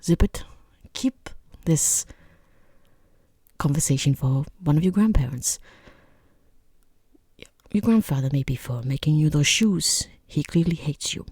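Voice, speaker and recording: A woman playing a rude, condescending female boss interviewing a job applicant in soft-spoken ASMR, half-whispered, with dry, sarcastic politeness. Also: sits low, expressive, measured pace, long pauses, slightly rough, no echo, heavily acted. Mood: exasperated.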